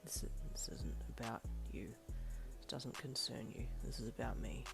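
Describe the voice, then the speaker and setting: A woman voicing a guarded, brooding male teacher in a storybook reading, speaking low and hushed. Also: lively intonation, normal speed, gravelly, music in the background, heavily acted. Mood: somber.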